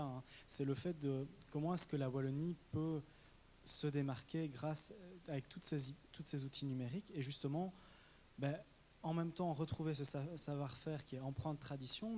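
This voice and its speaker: A man moderating a panel debate, asking questions hesitantly with many pauses and restarts. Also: medium pitch, a little mellow, slight background noise.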